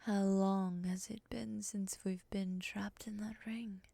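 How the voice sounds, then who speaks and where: A woman playing a cool, skeptical moth monster woman, breathy and half-whispered, sardonic and condescending, in an ASMR roleplay. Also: mid-range voice, flat delivery, slow, dark tone, no room sound. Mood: sardonic, disdainful.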